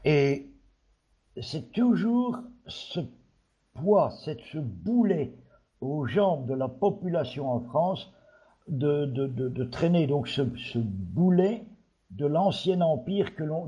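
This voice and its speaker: Casting: Belgian-born man, mellow voice, halting delivery, lo-fi recording. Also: fairly high pitch. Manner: hesitant, many fillers and restarts. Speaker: panel guest. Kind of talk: opinionated casual discussion.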